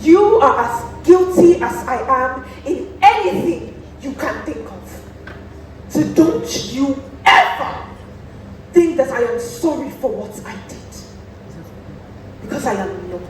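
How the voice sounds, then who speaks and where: A woman's voice: sits high, lively intonation, slow. A Nigerian woman acting a stern, proud, accusing priestess in a stage play, with an imperious, declamatory delivery. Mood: stern, defiant. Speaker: student stage actor. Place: theatre stage, recorded from a distance. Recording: very echoey theatre, distant mic, faint noise.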